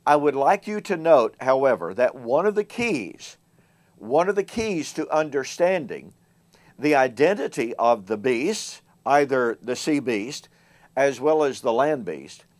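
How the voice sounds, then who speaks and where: Man, Bible teaching host, mid-pitched, measured, slows to stress points, relaxed asides. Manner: measured delivery, slowing and rising in volume to stress key words. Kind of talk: solo Bible teaching talk to camera.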